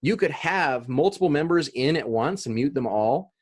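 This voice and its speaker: A man's voice: medium pitch, lively intonation, normal speed, breathy edge. A man in his thirties presenting on marketing over a video call, talking loosely with many fillers and restarts. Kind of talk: casual presentation with Q&A.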